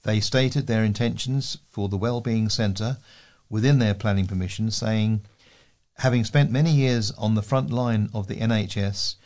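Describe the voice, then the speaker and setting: A man's voice: fairly low pitch, moderate pace, darkish tone. A man with a British accent reads local news articles aloud as a volunteer newsreader.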